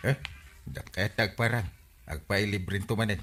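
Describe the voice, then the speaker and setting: A man's voice: fairly low pitch, normal speed, fairly bright tone, close to an everyday voice. A man acting a hesitant, put-upon Filipino villager in a comedy radio drama, peppering his talk with "eh". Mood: gossipy. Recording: clearly noisy radio recording.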